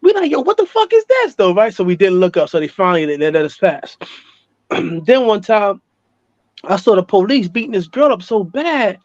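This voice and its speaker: High-voiced American man telling street stories. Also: normal speed, dry recording, dull audio. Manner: animated, repeats phrases for emphasis, street slang. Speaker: interview guest telling his life story. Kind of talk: casual personal storytelling.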